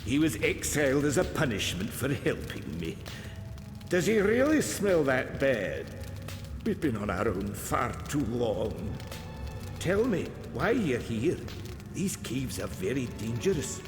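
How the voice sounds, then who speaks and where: A man's voice: medium pitch, sing-song, a little slow. A man playing an eccentric, kindly old alchemist in a video game, lilting and theatrical. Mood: chatty, wary. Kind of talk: video game character acting. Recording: echoey reverberant sound with music behind.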